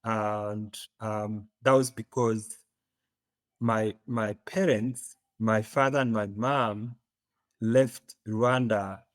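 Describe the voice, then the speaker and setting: A man from Uganda with an East African accent, telling his story as an interview guest, often pausing to search for words. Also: on the low side, steady tone, no echo. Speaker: podcast guest.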